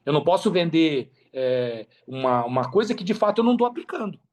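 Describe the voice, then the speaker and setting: Expansive Brazilian man, slightly high voice. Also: animated, a little fast, darkish tone. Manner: expansive, slangy, emphatic with repetition. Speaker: podcast guest, affiliate marketer. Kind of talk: casual interview storytelling.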